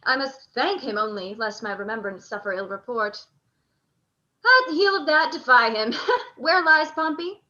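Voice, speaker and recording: A woman's voice: fairly high pitch, lively intonation, measured pace, pushed and bright, muffled recording. A woman playing a proud, courteous soldier and statesman, speaking formal declamatory verse in a radio play. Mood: solemn, resolved. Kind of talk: live radio play acting in Shakespearean verse.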